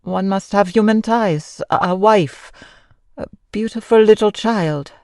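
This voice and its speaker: A woman acts out a sorrowful wife of a mad composer in an old-time radio drama. She is a Frenchwoman who speaks very slowly in a mid-range voice, with formal, halting phrasing and a mournful weight. The sound is very dry. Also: medium pitch, darkish tone, no echo, natural voice. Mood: grave, pleading.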